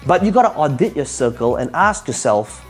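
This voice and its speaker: A man's voice: a little high, very animated, moderate pace, faint music behind. A man whose first language is Cantonese gives motivational advice to camera, speaking emphatically, asking rhetorical questions and repeating his key phrases. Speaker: entrepreneur giving advice.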